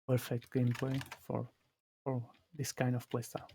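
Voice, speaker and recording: Flat-voiced Portuguese man streaming. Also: medium pitch, vocal fry, a little hiss or hum. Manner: halting, restarts phrases while explaining. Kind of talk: live gameplay commentary.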